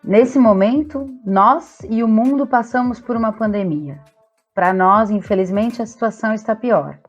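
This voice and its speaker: A woman's voice: fairly high pitch, moderate pace, soft background music. A woman in her thirties with a Brazilian accent, co-hosting a podcast with scripted narration. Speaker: podcast co-host. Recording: slightly dull lo-fi audio.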